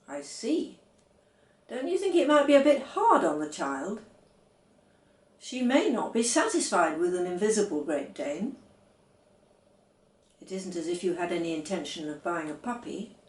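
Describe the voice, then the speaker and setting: A woman's voice: fairly low pitch, sing-song, a little slow, pauses often, roomy sound. A woman reading the part of a shrewd, exasperated wife and mother who scolds in a children's storybook. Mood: reproachful.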